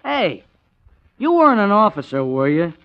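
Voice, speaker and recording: High-voiced, animated good-natured 1940s driver. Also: male voice, normal speed, no echo. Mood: earnest, friendly. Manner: earnest, blunt, colloquial. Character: good-natured, plain-spoken male driver, ex-army military policeman. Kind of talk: 1940s radio comedy drama acting. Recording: muffled, dry vintage 1940s radio recording.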